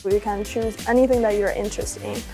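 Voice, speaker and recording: A woman's voice: medium pitch, steady tone, fairly quick, mellow, soft background music. A woman from northeast China, a student, plainly recommending her college in a promo video. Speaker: international student.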